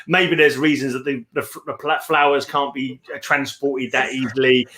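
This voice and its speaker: A man's voice: mid-range voice, little pitch movement, normal speed, sharp tone. A man chatting casually about a book, rambling as he thinks aloud. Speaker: read-along host.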